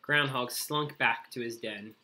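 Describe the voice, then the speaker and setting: A man narrating a children's storybook aloud in an expressive read-aloud style. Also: mid-range voice, a little slow. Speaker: story reader.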